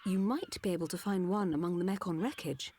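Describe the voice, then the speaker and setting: An actress playing a calm, precise female Machina doctor explaining a treatment. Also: on the low side, a little fast, a little hiss or hum, natural voice. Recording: game cutscene with music.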